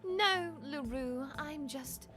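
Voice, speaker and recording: A woman's voice: sits high, takes it slowly, pushed and bright, heavily acted. A woman voicing a proud, composed young noblewoman student in a game. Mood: cutting. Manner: cool, poised, cutting. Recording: game audio with music behind.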